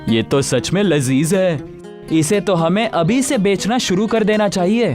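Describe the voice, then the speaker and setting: A man's voice: high voice, a little slow, a little mellow, faint music behind. A man playing a friendly young village fritter seller in a story, cheerful and theatrical in his talk. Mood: cheerful, selling.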